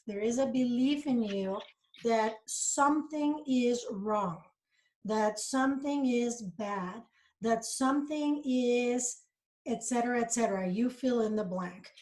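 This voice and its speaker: A woman's voice: a little high, a little slow, darkish tone. A middle-aged woman from Costa Rica, a guest in a casual interview, talking in an earnest, reflective, upbeat way about her life and beliefs.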